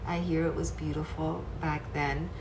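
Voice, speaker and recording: A woman's voice: low voice, flat delivery, normal speed, background noise. A woman in her fifties, born in Cuba and raised in the United States, hosts a casual home cooking vlog and talks chattily to her viewers. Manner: chatty and warm, addresses viewers as guys. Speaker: channel host. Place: home kitchen and dining room. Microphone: camera on a tripod at medium distance. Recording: roomy kitchen with music behind.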